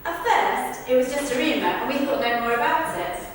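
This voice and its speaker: A woman's voice: high-pitched, lively intonation, fairly quick. A woman rehearsing verbatim witness accounts for the stage, telling them in a conversational but projected way. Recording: echoey hall, far from mic, faint noise.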